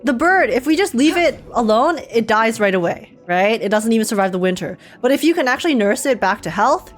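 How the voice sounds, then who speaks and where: A woman streamer giving casual, slangy live reaction commentary on a game, thinking aloud in an animated way. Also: a little high, brisk, soft background music.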